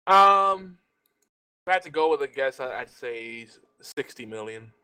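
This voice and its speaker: A man's voice: medium pitch, lively intonation, normal speed, pushed and bright, clear, resonant tone. A man chatting casually as a podcast panelist, informal and sweary, acting out little quotes as he goes. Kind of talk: casual chat.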